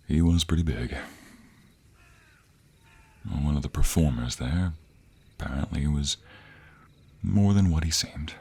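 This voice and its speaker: A man voicing a devoted, protective vampire boyfriend in an intimate romantic audio roleplay, speaking earnestly and hesitantly, often in a breathy hush. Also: a bass voice, heavily acted. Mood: intense.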